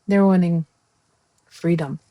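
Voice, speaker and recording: A woman's voice: lowish voice, steady tone, slow pace, mellow, no room sound. A middle-aged woman from Iran, a democracy advocate speaking earnestly and with passion in an interview, with many fillers. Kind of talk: conversational interview.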